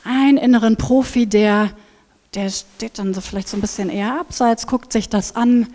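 A woman in her thirties on a headset mic, giving a lively conference talk and acting out inner voices. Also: fairly high pitch, moderate pace. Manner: animated, acts out inner voices. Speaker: speaker at a conference. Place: large brick hall with seated audience. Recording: reverberant hall.

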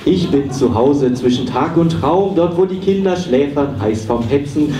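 A man's voice: on the high side, darkish tone. A man playing a muddled, ranting psychotherapist who stammers and exclaims in a live comedy radio play. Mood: bewildered, alarmed. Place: small dark theatre stage, live. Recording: echoey, noisy stage recording from far off.

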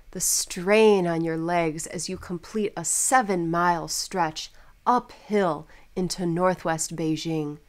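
American woman doing a travel commercial voiceover, kinda low voice, really unhurried, soft storytelling delivery. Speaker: voice-over artist. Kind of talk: scripted travel commercial narration.

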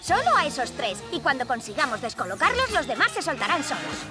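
A woman playing a confident, excitable little superhero girl in a Castilian Spanish cartoon, showy and bossy. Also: sits high, fairly quick, over music. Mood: confident planning. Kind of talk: children's cartoon dub.

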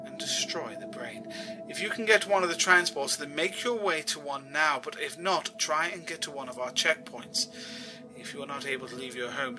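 A man's voice: mid-range voice, close to an everyday voice. Man acting as a grave emergency news reporter, music behind. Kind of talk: formal emergency broadcast announcement.

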